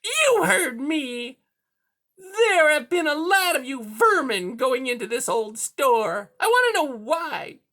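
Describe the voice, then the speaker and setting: A woman's voice: high-pitched, big pitch swings, slow pace, a bit bright, pitched above the performer's own voice, full character voice. A woman voicing a sneering, bullying female cat villain in a children's story, with a menacing, snarling manner. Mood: sneering, threatening.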